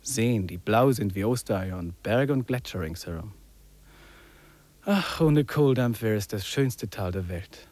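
An actor in a 1950s radio drama playing a wry, contemplative English trekker who muses and drops in English phrases. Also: male voice, on the low side, lively intonation, unhurried, a little mellow, dry recording. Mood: musing. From England. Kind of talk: 1950s German radio drama acting, reflective monologue and dialogue.

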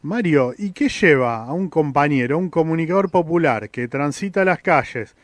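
Higher-voiced Argentine male radio host, dry sound. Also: expressive, normal speed, no echo. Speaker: radio host interviewing a guest. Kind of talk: conversational radio interview.

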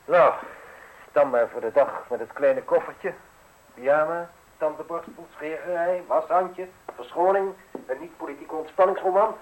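A man's voice: a little high, moderate pace, lightly acted, poor audio. An actor in a radio play playing a proud, sardonic male newspaper publisher, speaking in a curt, dry, ironic manner. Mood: sardonic, brisk.

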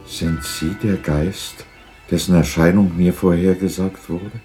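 A man's voice: low voice, fairly even intonation, measured pace, warm tone, soft background music. An actor playing an old miser, uneasy and hesitant as he questions spirits, in a 1960s German radio drama. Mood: fearful.

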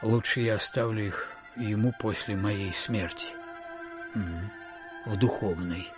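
An actor playing a miserly old landowner who mutters hesitantly to himself in an audio drama. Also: male voice, fairly low pitch, slow. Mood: musing, grudging. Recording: studio drama with music behind.

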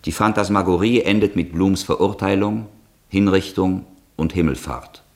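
A man introducing a German radio drama as a formal, sober, deliberate narrator. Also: sits low, noisy background. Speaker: announcer, narrator.

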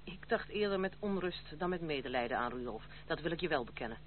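A woman's voice: deep voice, expressive, fast talker. An actress playing a proud, stern young woman in a Dutch radio drama, speaking formally and resolutely. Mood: uneasy. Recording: tinny, noisy old radio play recording.

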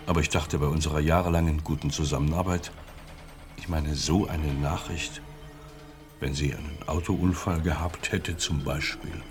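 A smug, menacing East German secret police officer in a radio play. His low voice is measured and officious, full of insinuation. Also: fairly even intonation, music in the background. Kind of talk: radio drama dialogue.

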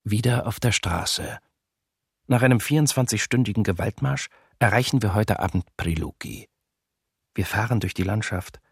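Deep, cold soldier diarist. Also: male voice, moderate pace, slightly rough, no echo, clean studio sound. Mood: cold, matter-of-fact. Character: German male wartime soldier diarist, cold, self-assured. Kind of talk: documentary radio drama diary reading.

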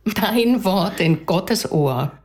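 An actress playing a caring, worried wife in a radio play, pointed and affectionately nagging. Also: female voice, medium pitch, animated, drawn-out words. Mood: worried, reproachful.

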